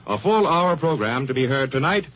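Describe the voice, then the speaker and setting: A man's voice: mid-range voice, sing-song, normal speed. A man with an American accent announcing a radio program in a grand, formal 1950s style. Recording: vintage radio broadcast, dull, loud music behind.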